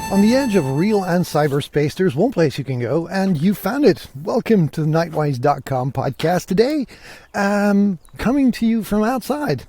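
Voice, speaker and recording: A man's voice: sits high, lively intonation, normal speed, dark tone. A man from Belgium hosting a podcast in a casual, conversational and enthusiastic way, talking solo while out walking. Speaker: podcast host. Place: outdoors, walking through countryside fields. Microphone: portable podcasting rig.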